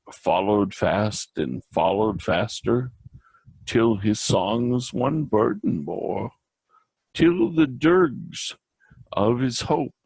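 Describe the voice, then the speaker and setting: A man giving a dramatic recitation of a poem, theatrical and halting, with occasional stumbles. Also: fairly low pitch, creaky, darkish tone, husky, no echo, low-quality recording. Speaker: reader.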